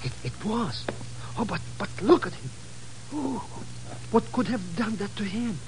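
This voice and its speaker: An actor playing an excitable, chatty small-time hustler with a foreign European accent in an old-time radio drama. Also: male voice, high voice, measured pace. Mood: shocked. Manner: chatty, slangy, garbled wording, flustered. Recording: noisy vintage 1950s radio recording.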